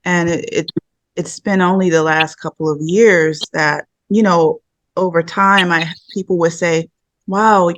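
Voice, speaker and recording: A guest speaking softly and calmly in a casual video chat, with a soothing manner. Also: mid-range voice, a little slow, no room sound. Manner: soft, calm, soothing speech.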